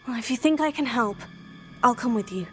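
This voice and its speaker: A woman's voice: on the high side, expressive, fairly quick, faint music behind, natural voice. An actor voicing an earnest, polite young woman student in scripted game dialogue. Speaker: student, player character.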